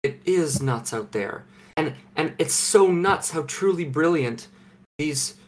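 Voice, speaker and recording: A young Canadian man co-hosting a podcast from home, deadpan and mock-serious, with halting restarts. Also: a little high. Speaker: podcast co-host. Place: home, remote recording.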